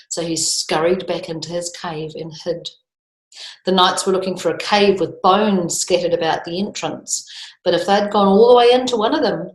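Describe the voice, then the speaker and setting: A woman reading a picture book to children, expressively, drawing out key words and adding chatty asides. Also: on the low side. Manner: emphatic, drawn-out key words, questions to young listeners. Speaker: children's storytime reader. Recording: echoey room.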